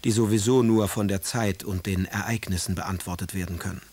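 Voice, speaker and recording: An actor playing a moody, sardonic aristocratic poet in a German radio play, speaking letters in wry, eloquent phrases. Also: male voice, deep voice, fairly even intonation, fairly quick, darkish tone. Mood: doubting, impatient.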